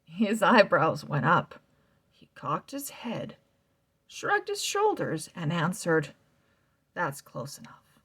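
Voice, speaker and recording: A woman reading a children's novel aloud, slow and expressive, sometimes dropping to a soft whisper. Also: lowish voice, breathy edge. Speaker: read-aloud narrator.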